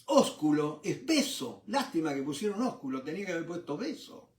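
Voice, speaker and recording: An older Argentine man preaching a Bible study in a fairly high voice that rises and drops as he makes his points. He is recorded a little away from the mic in a roomy space that echoes around him. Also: fairly quick, recorded from a distance. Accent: Argentine Spanish. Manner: expressive, asks rhetorical questions, repeats "¿no es cierto?". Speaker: Bible teacher. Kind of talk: sermon-style Bible study.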